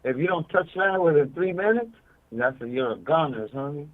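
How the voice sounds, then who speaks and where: A man's voice: fairly high pitch, lively intonation, normal speed, narrow, tinny audio. A man chatting casually on a call, playful and slangy, often chiming in and slipping into a bit of Spanish. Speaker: regular caller from prison. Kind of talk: casual phone chat.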